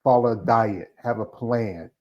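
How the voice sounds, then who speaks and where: A man's voice: lowish voice, a little slow. A man hosting a casual interview who asks rambling, enthusiastic questions. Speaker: interview host. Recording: slightly dull, lo-fi audio.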